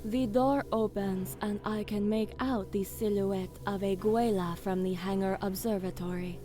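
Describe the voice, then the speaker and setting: Woman acting a slow, solemn alien commander. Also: medium pitch, steady tone, close to an everyday voice. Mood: grave. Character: alien woman commander, grave narrator, fierce when giving orders. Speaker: narrator and lead character. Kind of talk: dramatic first-person audio drama narration.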